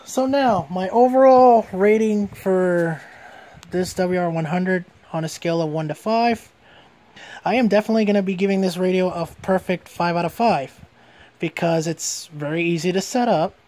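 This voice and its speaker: A man with a fairly high voice presents a hands-on gadget review. He talks informally, like an everyday hobbyist video, and tends to restate his points as he goes. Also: moderate pace, darkish tone. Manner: informal, repeats and rephrases himself. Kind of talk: casual hands-on product review.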